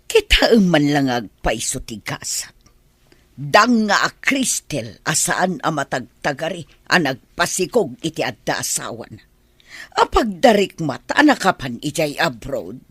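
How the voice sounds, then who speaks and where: A sharp, husky, mid-pitched voice of a spiteful village gossip played by an actor. The delivery is scornful and skeptical, in radio-drama style. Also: sing-song, measured pace, no echo, heavily acted.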